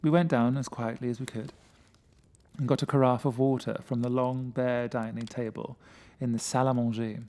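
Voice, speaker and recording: A man with a mid-pitched voice reading a first-person gothic story as dramatic narration. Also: level tone, normal speed, darkish tone. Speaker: story reader.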